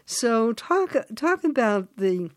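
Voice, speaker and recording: An older woman interviewing an author, speaking slowly and searching for her words as she asks questions. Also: a little high, sing-song, no room sound, clean studio sound. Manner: slow, warm, searching for words.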